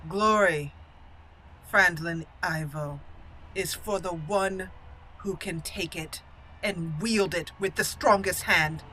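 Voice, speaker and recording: Woman playing a proud warrior noblewoman, bright voice. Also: lowish voice, slow pace, a little hiss or hum, poor audio. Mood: defiant. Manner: formal, declarative. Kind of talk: role-play over a fantasy game.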